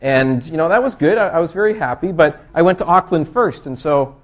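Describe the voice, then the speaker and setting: Canadian-accented male presenter, fairly high-pitched, chatty and self-deprecating, opens a tech session with jokes. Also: animated, brisk. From Canada, East Coast. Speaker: conference presenter. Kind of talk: joking conference talk.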